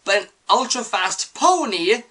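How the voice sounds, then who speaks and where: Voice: medium pitch, expressive, measured pace, bright, forceful tone. A reviewer giving semi-scripted commentary on a series. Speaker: video reviewer.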